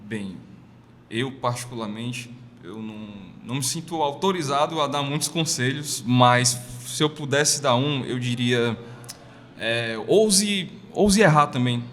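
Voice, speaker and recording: A man's voice: medium pitch, a little slow, fairly bright tone. Thoughtful man in a reverberant room. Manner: thoughtful, hedging, builds by repetition. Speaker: panel guest. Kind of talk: reflective panel answer. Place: makeshift studio at a university campus event. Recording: long reverb, faint ambient noise.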